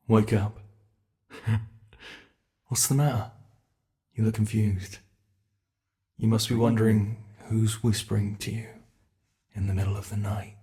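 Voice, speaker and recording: A man voicing a gravelly, teasing bed monster. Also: on the low side, mellow. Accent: British. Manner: teasing, menacing, whispery. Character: ancient playful male monster under the bed. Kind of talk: ASMR roleplay.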